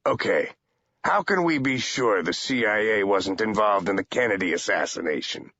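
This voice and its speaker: A man voicing a sardonic male agent who talks mildly but with quiet threat. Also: lowish voice, animated, moderate pace, no room sound, heavily acted. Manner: mild on the surface, quietly threatening. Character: menacing, sardonic male "bad cop" agent. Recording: dull, lo-fi audiobook recording.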